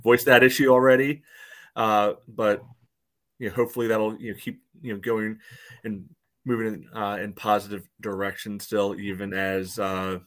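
A man's voice: fairly low pitch, flat delivery, moderate pace, fairly bright tone. A man co-hosting a sports podcast, rambling casually with lots of fillers. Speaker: podcast co-host.

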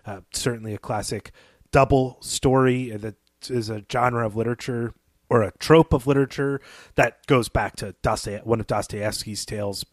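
A man of middling pitch speaks as a podcast co-host. He analyses literature in a measured, thoughtful way, building his points in halting phrases. The recording is dry and close. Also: dry recording.

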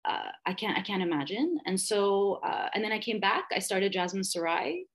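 A woman's voice: medium pitch, sing-song, moderate pace. A woman in her thirties, a perfumer who grew up in Dubai, talking reflectively and effusively as a guest in a casual interview. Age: 30s. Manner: reflective and effusive, searching for words with many fillers.

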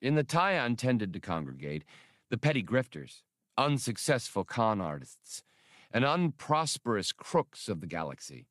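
A man narrating an audiobook in a measured, steady storytelling manner. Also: fairly low pitch, dry recording, studio quality.

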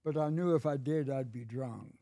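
A man in his eighties, a podcast guest, telling stories in a slow, wry and bawdy way. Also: mid-range voice, warm tone, slight background noise. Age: 80s. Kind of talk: casual chat.

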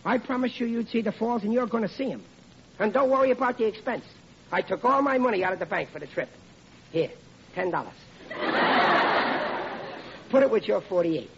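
An actor playing a hapless, well-meaning working-class American bridegroom in an old radio comedy, with folksy, ungrammatical, easily flustered speech. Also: male voice, high-pitched, animated, a little fast, gravelly, pauses often. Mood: tender, reassuring. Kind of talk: old-time radio situation comedy acting. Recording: muffled, noisy vintage radio recording, audience behind.

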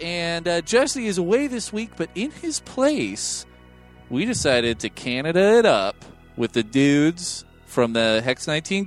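A young man hosting a casual podcast, joking and laughing often with his guests. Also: a little high. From United States. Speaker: podcast host.

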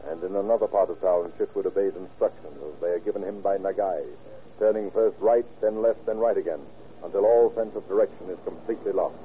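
A man's voice: low voice, fairly even intonation, fairly quick, phone-quality sound, slight background noise. A man with an American accent giving dramatic scripted narration for a 1940s radio serial. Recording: thin old radio recording, faint music.

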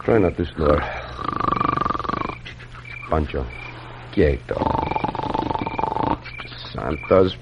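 An actor playing an alert, gallant Mexican cowboy hero with formal, accented English in an old radio western. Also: male voice, very deep voice, expressive, very slow, vocal fry, pauses often, exaggerated voice. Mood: calm, weary. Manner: formal English peppered with Spanish words. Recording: muffled, very noisy old radio broadcast.

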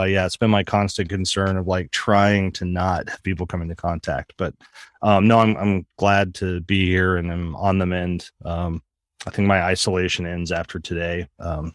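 A middle-aged man with a very low voice co-hosts a casual podcast, tired and recovering from an illness. He talks earnestly, circling back with fillers and restarts. The sound is dry and close, with no room in it. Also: a bit bright, dry recording. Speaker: podcast co-host, religion professor.

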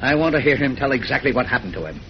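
A man's voice: medium pitch, big pitch swings, racing. An actor playing a blustery, fatherly older newspaper editor in a 1940s radio drama, sputtering and easily worked up. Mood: relieved, vengeful. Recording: noisy, muffled old radio recording.

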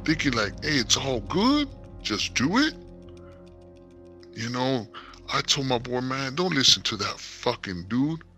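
A man spins a street story in loose, slangy, profane talk. He animatedly quotes both sides of conversations. Music plays clearly behind his mid-pitched voice. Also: very animated, unhurried, pauses often, sharp tone. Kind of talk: casual street storytelling, quoting others' dialogue.

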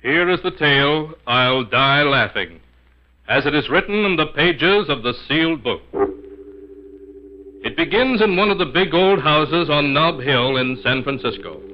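A man's voice: on the high side, lively intonation, a little hiss or hum. A man acting as the ominous, solemn storyteller of a 1940s radio terror series, in a portentous dramatic style on an old muffled recording. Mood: solemn storytelling. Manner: slow, solemn, portentous delivery.

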